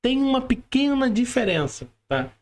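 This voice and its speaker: Brazilian male podcast host, high voice, informal and conversational, checks in with little tag questions. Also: very animated, normal speed. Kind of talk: casual interview chat with calls to subscribe. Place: remote video call.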